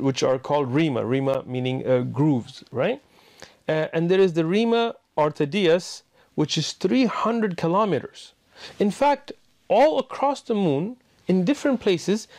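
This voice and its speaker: A man's voice: on the high side, a little mellow. A man giving an explanatory religious talk in an emphatic manner, posing rhetorical questions to his audience. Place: indoor room with bookshelves.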